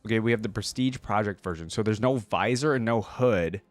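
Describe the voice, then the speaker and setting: A man's voice: fairly low pitch, a little fast, dry recording. A man giving casual, enthusiastic reaction commentary as a video host, informally thinking aloud as he goes.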